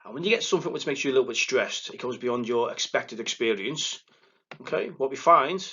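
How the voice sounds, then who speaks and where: A man with a thick Liverpool accent giving a recorded lecture as a psychotherapist, excitable and quick, often stumbling and restarting his words. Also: mid-range voice, slightly rough, lo-fi sound. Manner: fast, excitable delivery with verbal stumbles and restarts. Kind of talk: recorded lecture to counselling students. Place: presenting alone without a live audience.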